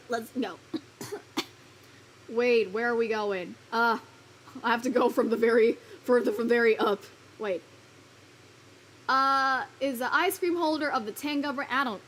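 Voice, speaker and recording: A woman's voice: a little high, a little slow. A woman streaming live, chatting loosely with her viewers, laughing often, swearing casually and fumbling her lines. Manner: giggly, rambling, swears casually. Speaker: virtual streamer.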